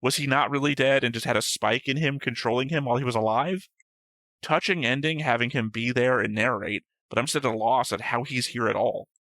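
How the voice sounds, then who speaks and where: A man with a bright, forward voice chats casually while reading through notes, stopping often to correct himself and swearing now and then. He is recorded dry and close on the mic, with no room sound. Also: mid-range voice, a little fast, no echo. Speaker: reaction show host reading notes.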